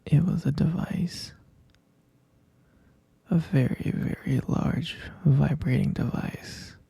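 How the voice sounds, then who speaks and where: A man playing a hesitant, concerned middle-aged stepfather in a scripted ASMR roleplay, speaking in a breathy, gravelly, halting hush. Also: fairly high pitch, dry recording, full character voice. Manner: halting, hushed, drawn-out delivery.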